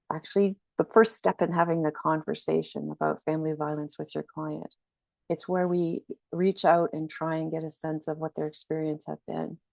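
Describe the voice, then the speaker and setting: Woman with a cold, deep gravelly voice. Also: a little mellow, dry recording. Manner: earnest, explanatory, frequent restarts. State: has a cold, slightly scratchy. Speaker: researcher and webinar presenter. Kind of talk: webinar training presentation.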